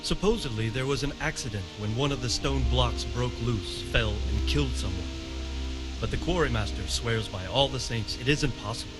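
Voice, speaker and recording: Male actor, medieval lord, deepish-average male pitch, wary, authoritative, game dialogue, music underneath. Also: moderate pace, lightly acted, low-quality recording. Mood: troubled, suspicious. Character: troubled medieval lord, wary, suspicious of profiteering.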